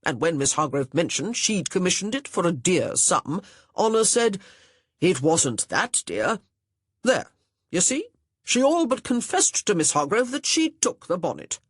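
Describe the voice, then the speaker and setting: A pompous young gentleman lectures sternly, yet his authority keeps wobbling into confusion, as the audiobook narrator puts him on. The voice is a touch husky, with lively rises and falls, recorded close and dry. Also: high voice, measured pace, dry recording, exaggerated voice. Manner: stern, blustering, uncertain. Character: pompous flustered young man, stepbrother.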